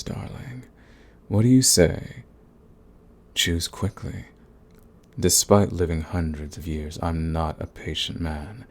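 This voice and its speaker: Man acting a deep, slow, menacing vampire lord. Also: darkish tone, heavily acted. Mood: taunting, possessive. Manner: menacing, silky, taunting, formal and deliberate. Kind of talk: ASMR roleplay audio drama.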